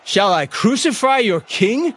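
A man's voice: fairly high pitch, very animated, slow pace, breathy edge, exaggerated voice. A man voicing an exasperated, authoritative Roman governor in an emphatic, declamatory way for a scripture reading. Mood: forceful, pressing.

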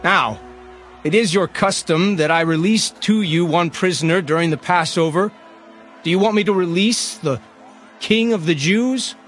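A man's voice: a little high, very animated, takes it slowly, soft background music. A man voicing an exasperated, authoritative Roman governor in an emphatic, declamatory way for a scripture reading. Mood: insistent, declaring.

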